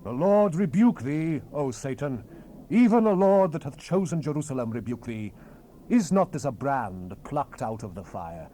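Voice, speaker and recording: A stern, majestic God played by a man declaims solemnly in a dramatized scripture reading. The delivery swings widely in pitch with a mellow tone, over the hiss of an old cassette. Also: sing-song, moderate pace, natural voice. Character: the Lord God, commanding, majestic, stern male deity. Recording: hissy 1970s cassette rip.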